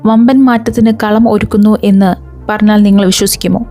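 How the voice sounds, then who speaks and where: A woman's voice: medium pitch, level tone, a little fast, dark tone, faint music behind. A woman hosting an explainer video, talking viewers through it step by step with rhetorical questions. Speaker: video channel host.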